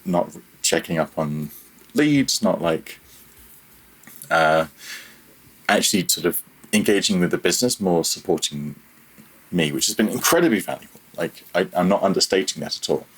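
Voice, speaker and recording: Low-voiced man, halting and self-deprecating. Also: animated, husky, low-quality recording. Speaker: interview guest, freelance audio developer. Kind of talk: casual conversational interview.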